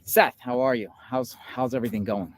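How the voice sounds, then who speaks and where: A man's voice: medium pitch, moderate pace, slight background noise. A man conducting an interview on location out in a park. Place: outdoors in a park.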